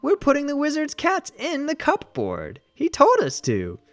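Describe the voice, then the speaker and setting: A man voicing eager, naive little dragons in a children's storybook, excitable and earnest. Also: very high voice, a little slow, pitched above the performer's own voice, exaggerated voice.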